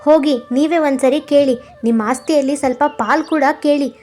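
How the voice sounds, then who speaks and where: A woman voicing a jealous, scheming young wife in a cartoon moral story, nagging and goading in a sharp, complaining manner. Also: sits high, brisk, soft background music, close to an everyday voice.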